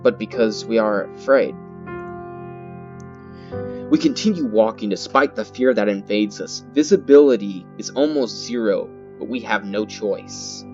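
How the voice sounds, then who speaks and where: A man with a fairly low voice reads horror game text out loud. He is theatrical and halting, often repeating words and slipping in his own remarks. The sound is somewhat dull, with loud guitar music playing behind him. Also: expressive. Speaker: gaming video host. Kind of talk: reading horror game text aloud dramatically, with ad-libbed comments.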